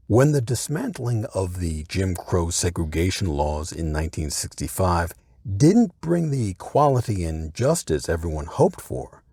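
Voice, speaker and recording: Husky, mellow man narrating a documentary. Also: low-pitched, dry recording, clean studio sound.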